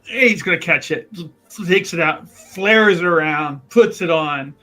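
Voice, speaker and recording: High, bright-voiced male gamer. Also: unhurried, soft background music. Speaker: player in a tabletop game. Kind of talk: casual, joking game chat.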